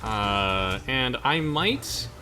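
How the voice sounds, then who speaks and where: A man's voice: medium pitch, expressive, very slow, noisy background. A young man hosting a let's play with casual, chatty and playful game commentary. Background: game music.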